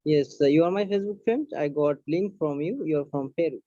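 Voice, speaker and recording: A man's voice: on the high side, lively intonation, fairly quick, mellow. A man from Bangladesh, joining an online meeting and speaking hesitantly with many fillers. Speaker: meeting participant. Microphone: video call. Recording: dull lo-fi call audio.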